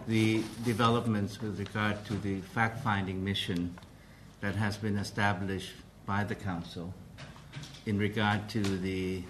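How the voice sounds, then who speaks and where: A man giving a formal press briefing as president of a council, speaking carefully with many pauses and restarts. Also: on the low side, level tone, noisy background.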